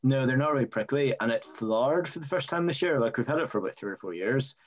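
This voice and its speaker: A man's voice: mid-range voice, lively intonation, quick pace. Chatty man with lots of ums. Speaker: guest. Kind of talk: casual chat.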